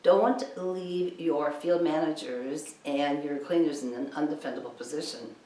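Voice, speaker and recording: A woman giving an instructional training lecture in an emphatic, insistent way, often posing rhetorical questions. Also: sits low. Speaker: cleaning business trainer. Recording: echoey room, distant mic, background noise.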